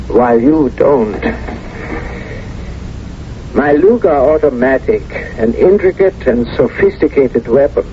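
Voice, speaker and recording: An actor in a 1970s radio drama playing a suave, menacing former collaborator, measured, deliberate and smug. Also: male voice, medium pitch. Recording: muffled, hissy old radio recording.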